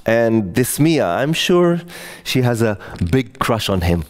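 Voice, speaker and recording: Forties man from Israel, wry solo storyteller, lively pitch swings. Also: takes it slowly. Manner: wry and self-mocking, with sighs and laughs. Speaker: comedian.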